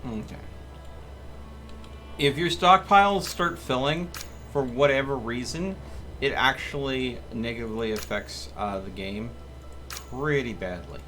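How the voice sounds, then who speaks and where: A man's voice: mid-range voice, measured pace, long pauses, sharp tone. A man giving casual live gameplay commentary as a streamer, muttering to himself and reading game text with some drama. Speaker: gaming streamer. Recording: music behind the voice. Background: game music.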